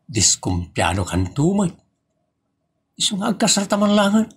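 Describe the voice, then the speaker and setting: A man's voice: high voice, wildly swooping pitch, moderate pace, slightly rough, full character voice. A man with a northern Philippine accent playing a teasing, evasive husband in a comedy audio drama.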